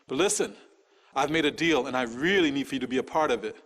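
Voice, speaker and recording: Actor as male revolutionary leader, earnest, persuasive, hurried, medium pitch, game cutscene. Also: fairly bright tone, natural voice. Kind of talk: scripted video game cutscene acting.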